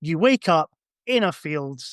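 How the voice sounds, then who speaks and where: A man's voice: on the high side, lively intonation, unhurried, fairly bright tone, dry recording, studio quality. A man chatting casually as a podcast guest, giving rambling, conversational explanations. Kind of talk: casual chat.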